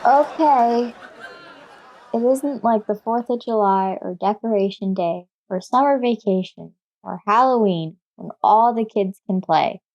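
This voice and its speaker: A woman's voice: mid-range voice, a little slow, darkish tone, lo-fi sound, a faint crowd, lightly acted. An actress playing a stubborn, earnest fourth-grade schoolgirl with an American accent, reciting in an old-time radio sitcom. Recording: noisy old radio recording.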